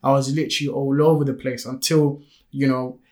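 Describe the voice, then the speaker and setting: A man who grew up in France, a native French speaker, talks casually on a podcast he co-hosts. He is eager and chatty at a middle pitch, with plenty of fillers running through his talk. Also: little pitch movement, a little fast. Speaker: podcast co-host.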